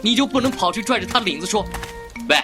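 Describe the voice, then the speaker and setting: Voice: mid-range voice, expressive, quick pace, music in the background, natural voice. A performer playing a mouthy, petulant young fox-spirit boy in a Chinese audio drama, scolding and cocky.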